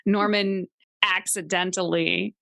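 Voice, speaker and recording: A woman chatting casually as a tabletop game player, telling things in a wry, animated way with some swearing. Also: medium pitch, takes it slowly, creaky, dry recording. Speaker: player on a tabletop actual-play show. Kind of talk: casual chat.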